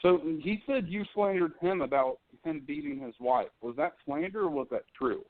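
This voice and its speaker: A man puts on a polite corporate lawyer making a phone call. His slightly high voice is mock-serious and questioning, on a dry, narrow telephone line. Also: lively intonation, normal speed, no room sound, close to an everyday voice. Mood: probing, insistent. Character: polite, probing man posing as company lawyer. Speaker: prank caller. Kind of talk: phone call to a radio show.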